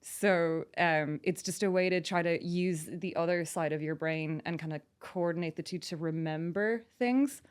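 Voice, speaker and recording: A woman's voice: fairly low pitch, moderate pace, hardly any pauses, clean tone. A woman from France with a mixed international accent, a podcast guest who talks in a chatty, casual way, using lots of "like" and "um". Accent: mixed international, slightly Irish-tinged English. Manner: chatty, with frequent "like" and "um", laughing easily. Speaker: guest, author and yoga teacher.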